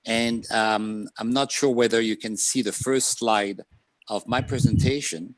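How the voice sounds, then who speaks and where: A man from France with a French accent, presenting as a panelist on a video call, measured and pausing with "um" between points. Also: fairly low pitch, fairly even intonation, no room sound, poor audio.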